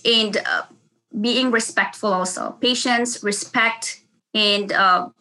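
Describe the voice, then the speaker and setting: A woman's voice: medium pitch, slow pace, pushed and bright. A woman nurse from the Philippines with a Filipino accent, speaking earnestly in a casual interview, often restarting her sentences. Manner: earnest, with frequent restarts and laughs.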